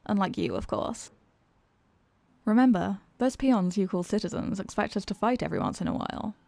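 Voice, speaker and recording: A woman's voice: medium pitch, wildly swooping pitch, normal speed, creaky, warm tone, no room sound, heavily acted. Sarcastic female supervillain, acted by a woman, close and dry. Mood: smug explaining. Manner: theatrical, sarcastic ranting. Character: exasperated female supervillain, sarcastic, secretly weary of evil. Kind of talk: comedic ASMR roleplay monologue.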